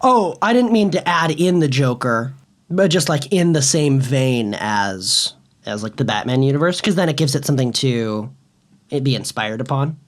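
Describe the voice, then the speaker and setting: A man's voice: medium pitch, lively intonation, normal speed. A man co-hosting in casual, informal chat. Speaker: co-host.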